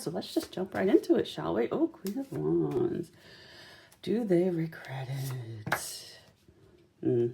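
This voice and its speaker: A woman hosting a casual, chatty tarot reading in a gentle, encouraging and informal manner, with a slightly husky voice. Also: on the low side. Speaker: tarot reader and channel host.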